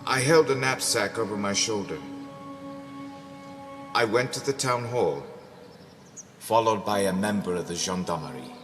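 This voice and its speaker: A man playing a bitter, weary ex-convict who recalls his life in a brooding, halting dramatic monologue. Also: low-pitched. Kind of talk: dramatic first-person audio drama monologue. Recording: long reverb under prominent music. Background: music.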